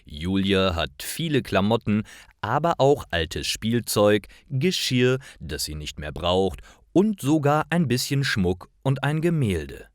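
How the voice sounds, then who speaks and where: A man reading simple stories for language learners as a scripted narration, in careful, clearly separated short phrases. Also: lowish voice, very animated, takes it slowly, dry recording, studio quality.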